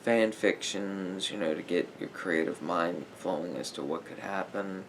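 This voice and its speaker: A man playing a calm, sympathetic therapist in an ASMR roleplay, speaking in a halting, pensive way with pauses. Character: calm, sympathetic male therapist talking to a client. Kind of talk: slow therapy roleplay ASMR, improvised and conversational. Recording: noisy recording with low rumble.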